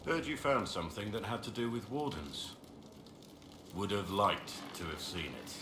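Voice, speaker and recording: An actor playing a proud, defensive warrior in a fantasy video game, talking in terse, blunt phrases. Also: male voice, lowish voice, sing-song, moderate pace. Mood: curious, wary. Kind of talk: video game dialogue acting. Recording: roomy echo, clear background noise.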